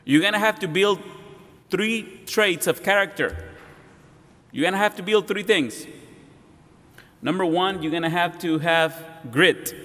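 A middle-aged man from Mexico with a Mexican accent, preaching as a guest pastor in a halting, self-deprecating way with many restarts. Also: high voice, a bit bright. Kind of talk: sermon. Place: large church sanctuary. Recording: long hall reverb.

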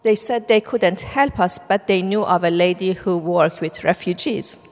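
A woman's voice: on the low side, moderate pace. A middle-aged woman from Iran with a Persian accent, earnestly telling her life story to an audience, with plenty of fillers. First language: Farsi. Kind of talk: personal testimony talk. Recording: long reverb of a hall.

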